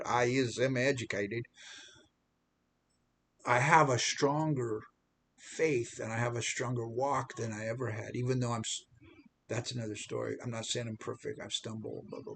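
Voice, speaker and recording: Older American man, 70, faith vlogger, halting self-mocking testimony, mid-pitched voice, faint rumble in the background. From United States. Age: 70s. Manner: rambling, repeats words and restarts, self-deprecating. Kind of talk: personal testimony monologue.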